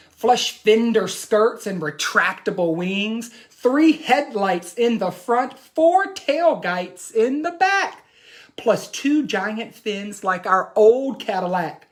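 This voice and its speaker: A woman's voice: medium pitch, expressive, slow pace. Woman reading as an emphatic, boastful young boy inventor. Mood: proud, excited. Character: imaginative boy proudly showing off his dream car. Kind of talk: expressive storybook read-aloud.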